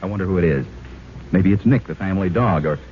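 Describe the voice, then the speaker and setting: A man's voice: low-pitched, fast talker, a little hiss or hum. An American man announcing and narrating a 1940s radio show in a theatrical showman style, on a muffled old recording. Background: loud music.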